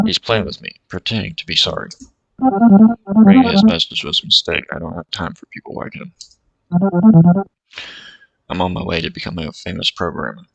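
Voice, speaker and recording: A man's voice: fairly high pitch, vocal fry, no echo, muffled recording. A man streaming a visual novel, reading its text aloud with short comments of his own. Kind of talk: reading game dialogue aloud over gameplay. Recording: game music playing loudly underneath.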